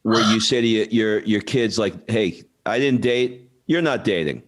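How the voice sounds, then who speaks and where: A man with a fairly low voice hosts an interview show. He talks informally and conversationally, in loose phrasing peppered with small filler phrases. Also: a little slow. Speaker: podcast host.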